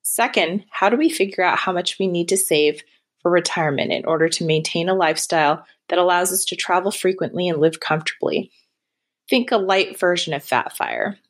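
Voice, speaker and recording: A woman in her thirties calling in, reading out a prepared question in a clear, matter-of-fact way. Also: fairly low pitch, brisk. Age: 30s. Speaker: caller.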